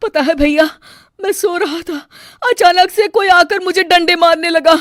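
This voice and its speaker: A woman voicing an earnest, excitable young husband in a cartoon story. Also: very high-pitched, measured pace, no echo, clean studio sound, pitched above the performer's own voice. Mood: alarmed. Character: earnest, trusting young husband, easily alarmed. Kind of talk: Hindi cartoon story dialogue.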